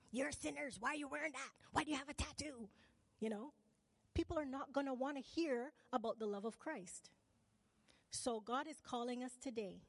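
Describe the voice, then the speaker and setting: A woman's voice: fairly high pitch, dry recording, in a large hall. A woman from Barbados preaching a sermon. She keeps asking the congregation questions and calls for "amen" and "right?".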